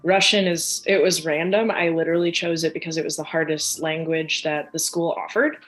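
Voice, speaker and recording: A chatty young woman from near Chicago, talking easily as a podcast guest. Her voice sits a little low, and she keeps slipping into quoted asides. A faint bed of music plays under her. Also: brisk, poor audio. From United States, near Chicago. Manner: chatty and animated, lots of fillers and quoted asides. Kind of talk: casual conversational interview.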